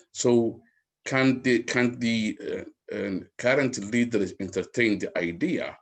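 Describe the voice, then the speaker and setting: A man putting questions to a webinar panel, rambling and repeating himself as he talks. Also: sits low. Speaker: webinar participant. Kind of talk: panel discussion remarks. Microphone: video call.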